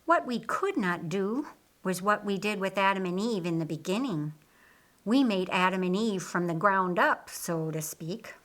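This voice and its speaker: A woman voicing a patient, authoritative male teacher in an audiobook, explaining in a measured, didactic way. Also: medium pitch, lively intonation, fairly bright tone. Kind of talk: audiobook character dialogue.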